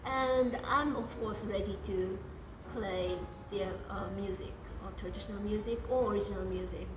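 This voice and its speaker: A woman with a Japanese accent, a guest jazz pianist, talking casually in an interview and pausing often on ums and uhs. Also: mid-range voice, steady tone, background noise. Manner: hesitant, many ums and uhs. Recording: echoey room with music behind.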